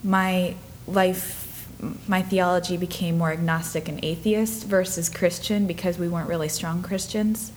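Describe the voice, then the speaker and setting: A woman in her twenties from Minnesota, telling her life story in an interview, earnest and reflective, sometimes dropping to soft breathy asides. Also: lowish voice, steady tone, unhurried, few pauses, a little hiss or hum. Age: 20s.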